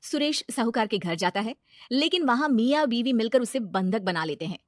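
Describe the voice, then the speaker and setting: A woman tells a scripted moral tale as its narrator. Her voice sits fairly high and the sound is dry and close. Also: expressive, brisk, no room sound. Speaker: story narrator.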